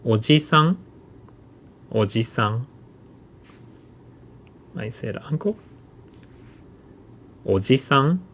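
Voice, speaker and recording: A man's voice: medium pitch, lively intonation, slow, pauses often, a bit bright. A man teaching a recorded mini-lecture, carefully demonstrating pronunciation with repeated example words. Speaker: language instructor.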